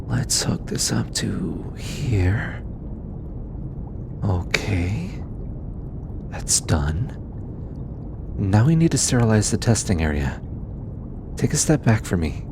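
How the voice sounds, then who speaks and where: Casting: male actor as kind, playful alien researcher for intimate audio roleplay; low-pitched, unhurried, eager, teasing; rumbly noise behind. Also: big pitch swings, gravelly, exaggerated voice. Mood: focused, explaining.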